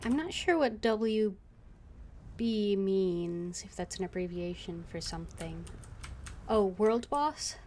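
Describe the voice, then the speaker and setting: A woman's voice: medium pitch, lively intonation, slow pace, darkish tone, slight background noise. A woman game streamer, reading out dialogue and commenting casually as she plays.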